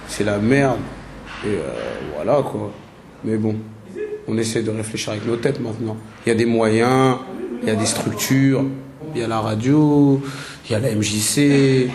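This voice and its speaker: A man's voice: lowish voice, gravelly. A young man from France speaking casually and bluntly in an interview, using slang. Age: young adult. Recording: noisy location recording.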